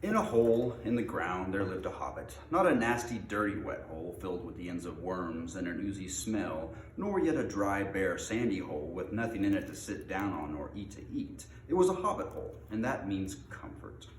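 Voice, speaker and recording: A man's voice: low voice, sing-song, normal speed, few pauses. A man reading aloud in an informal, unpolished way, as the host of a read-aloud. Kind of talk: reading a storybook aloud with casual introduction. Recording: echoey room with faint noise.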